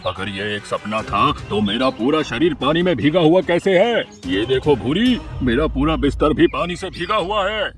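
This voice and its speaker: A man's voice: a little high, very animated, normal speed, hardly any pauses. A man voicing a panicky, fearful crow father in a cartoon, agitated and exclaiming. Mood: shaken, agitated. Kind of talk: cartoon character acting. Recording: music behind the voice.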